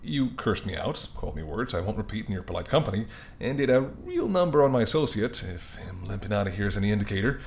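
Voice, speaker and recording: Man playing a low-voiced, flirtatious mafia boss. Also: very animated, quick pace, hardly any pauses, slight background noise. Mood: amused, intrigued. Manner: smooth-talking, playful, flirtatious, addresses listener as doll. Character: charming, teasing, apologetic mafia boss smitten with a librarian. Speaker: voice actor in roleplay audio. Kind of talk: intimate second-person roleplay audio acting.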